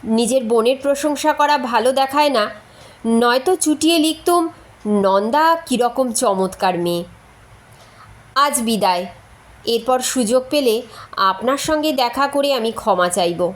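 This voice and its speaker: A woman's voice: fairly high pitch, expressive, measured pace, long pauses. A woman narrator voicing a frank, playful young woman reading out her chatty letters in a storybook. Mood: candid, persuasive.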